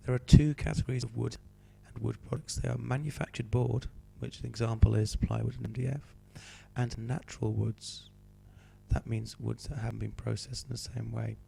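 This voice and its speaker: A male teacher with a cold, hoarse and croaky, patiently talking students through a homework sheet. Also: mid-range voice, fairly even intonation, normal speed, mellow, a little hiss or hum. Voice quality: croaky, slips into whispery breaks. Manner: patient, explaining step by step. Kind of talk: homework help walkthrough.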